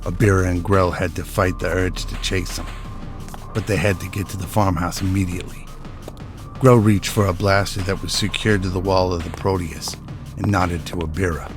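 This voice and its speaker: A man's voice: deep voice, level tone, moderate pace, over music. A man delivering dramatic scripted narration as the storyteller of an audio drama.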